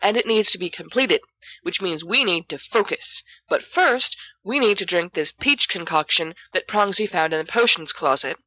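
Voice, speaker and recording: A woman's voice: fairly low pitch, expressive, normal speed, sharp tone, no room sound, poor audio. A woman voicing an imperious, flippant teenage schoolboy with a commanding, teasing manner in an audiobook story.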